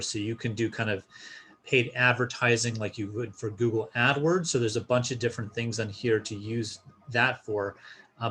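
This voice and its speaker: A man's voice: mid-range voice, fairly bright tone, slight background noise. A man presenting an informal step-by-step tutorial, explaining a screen share in a casual instructional style.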